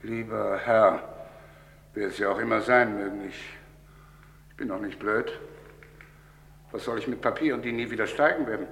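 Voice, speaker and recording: A man playing a wary, shrewd, wealthy English gentleman in an old German radio crime drama, with deliberate, dramatic delivery. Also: lowish voice, gravelly, a little hiss or hum. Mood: indignant, dismissive. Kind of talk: German radio crime drama acting, mid-20th century.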